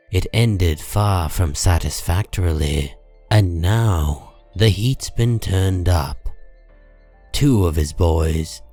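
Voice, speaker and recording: A man narrating a comic book story in a dramatic, deliberate storytelling style. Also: deep voice, mellow.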